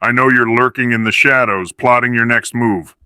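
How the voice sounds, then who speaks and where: Man playing a forceful, menacing dark wrestling villain. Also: mid-range voice, fairly even intonation, moderate pace, clean tone, no echo, clean studio sound. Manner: ominous, grandiose, threatening. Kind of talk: scripted wrestling promo.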